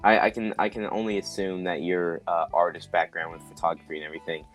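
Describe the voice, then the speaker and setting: Very deep-voiced upbeat podcast host. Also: male voice, level tone, quick pace, faint music behind. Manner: upbeat, encouraging, repeats words of approval. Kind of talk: casual podcast interview.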